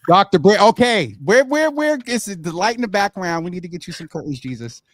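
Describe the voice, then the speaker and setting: A man's voice: high-pitched, big pitch swings, moderate pace, a bit bright, dry recording, studio quality. A man hosting a live morning show with casual, teasing banter, often repeating himself and talking over his guest.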